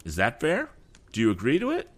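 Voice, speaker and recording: A man's voice: mid-range voice, huge pitch swings, a little fast, voice pushed up. A man voicing an insolent, smug rival businessman in a storybook reading. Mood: bold. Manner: sneering, pushy.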